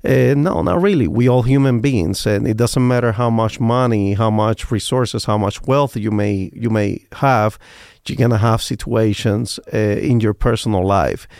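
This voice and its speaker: Earnest Cuban-accented man, smooth voice. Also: fairly low pitch, normal speed, a little mellow, no room sound, clean studio sound. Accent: Cuban Spanish. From Cuba. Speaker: guest. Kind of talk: interview talk.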